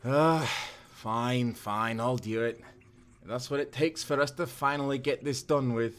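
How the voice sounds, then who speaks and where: A man's voice: medium pitch, a little slow, close to an everyday voice. Man playing earnest timberman sailor. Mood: reluctant, earnest. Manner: earnest, old-time seafaring speech. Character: kind, principled male timberman sailor of the 1790s. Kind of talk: cinematic audio roleplay.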